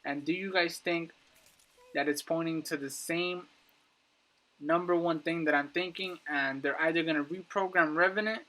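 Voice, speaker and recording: Guy doing casual game lore breakdown, kinda high sharp voice, talks right at viewers, really emphatic. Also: fairly even intonation, normal speed, poor audio. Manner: emphatic, addresses viewers directly. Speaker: YouTube gaming content creator.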